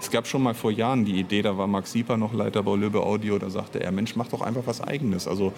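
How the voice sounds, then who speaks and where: A man's voice: fairly low pitch, fairly even intonation, fast, vocal fry, background noise. A middle-aged man in a casual interview, thinking aloud and often breaking off to rephrase. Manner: thinking aloud, hesitant, self-interrupting, reflective. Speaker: audio drama writer and director being interviewed.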